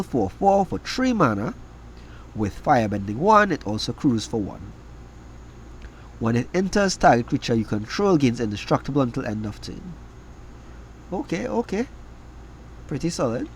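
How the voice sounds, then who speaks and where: A man with a cold and a raspy voice, reading out trading cards and giving quick verdicts on each. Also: mid-range voice, a little hiss or hum. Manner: reads card text then reacts with quick verdicts. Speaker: card game video host.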